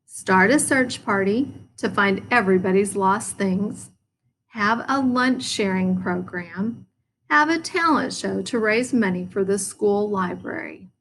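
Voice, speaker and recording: A woman voicing a confident, practical young girl frog from a storybook, deliberate and self-assured. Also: mid-range voice, lively intonation, a bit bright, slight background noise, low-quality recording.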